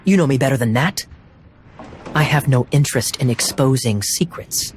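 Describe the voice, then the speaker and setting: A man's voice: mid-range voice, moderate pace, faint music behind, close to an everyday voice. A man playing a suave, teasing young knight in a video game, with a smug and playful theatrical delivery.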